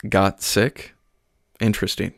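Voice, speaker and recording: A man's voice: sits low, flat delivery, slow, vocal fry, fairly bright tone, no room sound, clean studio sound, heavily acted. A man playing an earnest young pastor. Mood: concerned. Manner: earnest, sermonizing.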